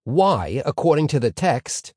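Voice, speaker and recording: A man voicing a pompous older rabbi teacher in an audiobook story, declaiming with dramatic pauses and rising questions. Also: mid-range voice, sing-song, a little mellow, husky, no echo, clean studio sound, pitched above the performer's own voice. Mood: declaiming, questioning.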